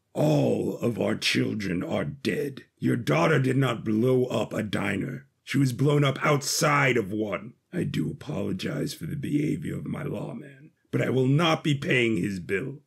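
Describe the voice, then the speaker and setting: Man acting out a ruthless king, sardonic, husky, creaky voice, deliberate formal condescending delivery, story character. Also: medium pitch, heavily acted. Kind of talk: audio fiction character voice.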